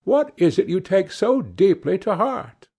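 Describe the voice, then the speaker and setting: Storybook dwarf played by a man: small, rough, nosy and coaxing, high swooping voice, archaic phrasing. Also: sing-song, unhurried, mellow, exaggerated voice. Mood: coaxing, curious. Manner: archaic, probing questions. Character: little rough-looking male dwarf, inquisitive and coaxing.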